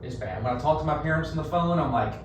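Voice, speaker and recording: A middle-aged man with a North Carolina Southern accent, telling stories as a guest in a relaxed interview with a folksy, animated drawl. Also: on the high side, quick pace. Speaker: marketing agency co-founder, guest. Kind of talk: conversational podcast interview. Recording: roomy echo, distant mic, noisy.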